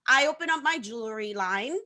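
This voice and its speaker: A woman's voice: fairly high pitch, lively intonation, brisk, pushed and bright. A woman in her thirties from Haiti, a guest on a video-call talk show, telling her story in an upbeat, chatty way and laughing often. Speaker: guest, social media coach. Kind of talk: casual talk-show interview.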